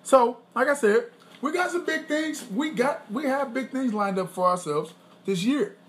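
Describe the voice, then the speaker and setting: A man co-hosting a podcast with his wife, talking in a loose, boastful, rambling way and clearing his throat often. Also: very high-pitched, animated, normal speed, fairly bright tone, a little hiss or hum. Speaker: podcast co-host. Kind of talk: casual chat.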